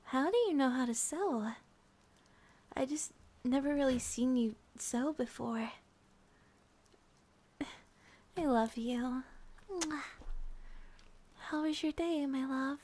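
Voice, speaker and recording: A woman playing a sleepy, affectionate young schoolgirl girlfriend in an ASMR roleplay, speaking softly and breathily, often near a whisper. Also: high voice, slow, long pauses, slight background noise. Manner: soft, breathy, often whispering, with sighs and giggles.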